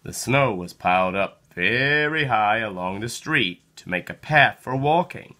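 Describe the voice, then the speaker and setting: Animated, bright-voiced children's storybook reader. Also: medium pitch. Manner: animated, slow, dramatic delivery with acted sound words. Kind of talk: expressive read-aloud of a children's picture book.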